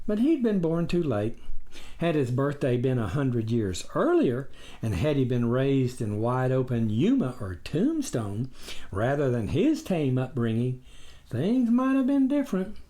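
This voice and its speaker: A man reading his own memoir as scripted audiobook narration, in a dramatic, expressive storytelling manner. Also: medium pitch.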